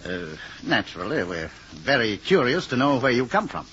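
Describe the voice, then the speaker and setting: Diplomatic government aide, hesitant, apologetic, lively intonation, muffled old radio drama recording. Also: male voice, medium pitch, moderate pace, slight background noise. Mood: polite, formal. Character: formal, apologetic, uneasy presidential secretary.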